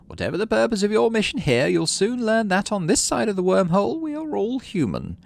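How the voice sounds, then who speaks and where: A man voicing a prim, firm older woman who governs a colony in a sci-fi game, speaking in a measured, diplomatic way. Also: sits high, huge pitch swings, gravelly, no room sound, pitched above the performer's own voice, full character voice. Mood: prim, composed.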